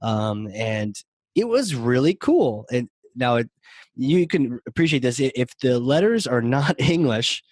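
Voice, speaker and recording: A man from New Hampshire talks in a loose, chatty way, often stopping to laugh and restart his phrases. He speaks at an ordinary pitch. The sound is dry and close, with no room behind it. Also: big pitch swings, unhurried, a little mellow, no echo. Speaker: podcast co-host. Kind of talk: casual chat.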